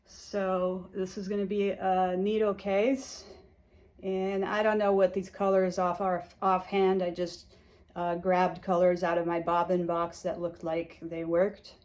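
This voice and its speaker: Hungarian-born woman, casual vlog host, slightly low voice, rambling and chatty. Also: little pitch movement, unhurried, darkish tone. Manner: chatty, rambling with many fillers and restarts. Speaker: cross-stitch vlogger. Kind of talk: casual show-and-tell chat.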